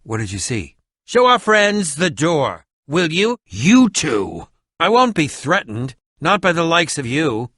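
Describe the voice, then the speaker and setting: A man's voice: a little high, sing-song, takes it slowly, fairly bright tone, no room sound, clean studio sound, exaggerated voice. A male actor voicing a haughty, scheming, villainous potion-maker in a video game, pompous, sneering and melodramatic, with over-enunciated delivery. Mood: suspicious, sneering. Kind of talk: video game character acting.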